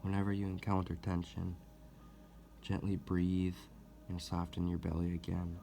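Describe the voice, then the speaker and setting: A man leading a guided meditation as host, speaking gently and deliberately with long pauses. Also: low voice, completely flat delivery, creaky, faint music behind. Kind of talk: guided meditation, soothing instruction.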